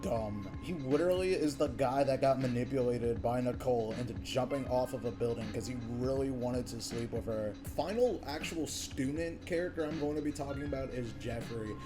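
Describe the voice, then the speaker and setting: A man with a low-leaning voice talks casually over clearly audible music. He rambles through video game character commentary in loose slang and swears freely. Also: moderate pace, hardly any pauses. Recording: music behind the voice.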